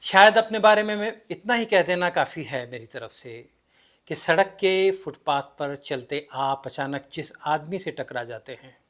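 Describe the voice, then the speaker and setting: A male actor performs an enigmatic everyman narrator of a stage play, a man unsure of who he is. His voice sits a little high for a man. He addresses the audience in a theatrical monologue, with deliberate pauses and emphatic swings. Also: lively intonation, fairly bright tone. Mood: musing, uncertain.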